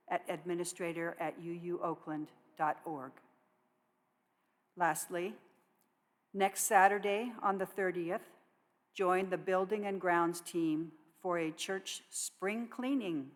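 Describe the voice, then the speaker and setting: A woman with a slightly low voice reads notices to a congregation. Her delivery is measured and scripted, as a worship associate would give it. Long reverb from a large church hall surrounds the voice. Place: church sanctuary.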